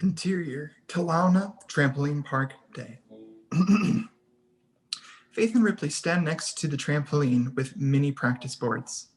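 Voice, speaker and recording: A narrator with a medium-pitched voice reads a screenplay aloud. The delivery is scripted narration, setting out scene headings and action lines. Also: measured pace, poor audio. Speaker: stage directions reader. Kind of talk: scripted narration at a table read.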